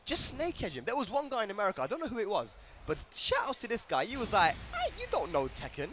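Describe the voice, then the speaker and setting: A man commentating a fighting game tournament, excitable and joking. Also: sits high, quick pace, a little hiss or hum. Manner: excitable, laughs often, jokes around. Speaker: esports commentator. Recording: commentary over loud game music.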